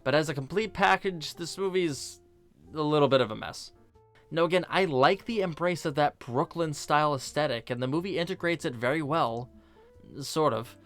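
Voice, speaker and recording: A man's voice: a little high, normal speed, faint music behind. A man narrating a scripted film review as host, with casual, opinionated, conversational asides.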